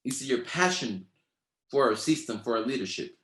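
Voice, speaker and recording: A man's voice: mid-range voice, lively intonation, normal speed, a bit bright, reverberant. Emphatic Spanish-accented motivational man. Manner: emphatic, repetitive, preacher-like cadence. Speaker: network marketing trainer. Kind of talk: motivational training talk.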